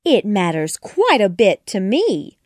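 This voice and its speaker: A flustered, truthful little girl, high-pitched and indignant, as a woman puts her on. She pleads her case slowly, her voice leaping up and down, and the recording is dry and close. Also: sing-song, a little mellow, no echo, full character voice. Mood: hasty.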